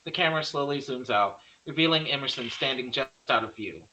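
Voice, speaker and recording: Bright-voiced man narrating a horror script. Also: mid-range voice, fairly quick, a little hiss or hum, low-quality recording. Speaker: narrator reading stage directions.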